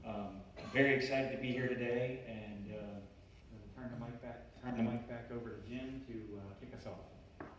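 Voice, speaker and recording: A man's voice: medium pitch, steady tone, normal speed, fairly bright tone. A man, introducing himself in a friendly, hesitant way with many fillers. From Baltimore, USA. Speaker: finance presenter. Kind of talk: casual self-introduction. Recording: echoey room, distant mic, faint noise.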